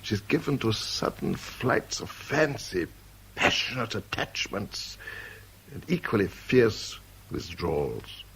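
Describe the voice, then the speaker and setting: A man's voice: lowish voice, very animated, takes it slowly, gravelly, a bit bright, breathy edge, full character voice. An actor playing an urbane, wily older man in a radio drama, speaking in a suave, theatrical and patronising way. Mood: urbane, confiding.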